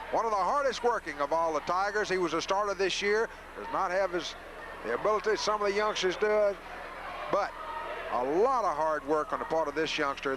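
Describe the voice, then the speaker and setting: A high-voiced man gives live basketball play-by-play for local radio. His delivery is folksy and partial to the home side. Clear background noise from a gym runs under the old 1980s broadcast recording.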